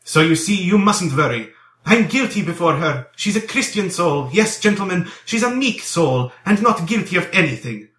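A man's voice: high-pitched, lively intonation, normal speed, fairly bright tone, pitched above the performer's own voice. A man voicing a kindly old police commissioner in an audiobook, compassionate and flustered, rambling and coaxing earnestly. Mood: fatherly, coaxing. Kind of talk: dramatized audiobook character dialogue. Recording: roomy echo.

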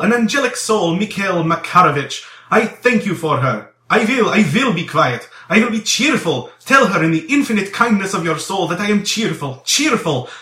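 A man's voice: sits high, pushed and bright, recorded from a distance, voice pushed up. A man voicing a desperate, effusive young man under arrest in an audiobook, exclaiming, pleading and repeating his words. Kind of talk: dramatized audiobook character dialogue. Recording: roomy echo.

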